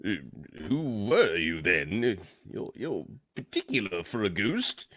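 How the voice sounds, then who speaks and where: A man acts a nervous old miser in a theatrical radio drama. He stammers and blusters at a slow pace, his pitch leaping wildly. He is skeptical, fearful and pleading. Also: huge pitch swings, a bit bright, full character voice. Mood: startled. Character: frightened, skeptical old miser facing a ghost. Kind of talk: theatrical radio play acting.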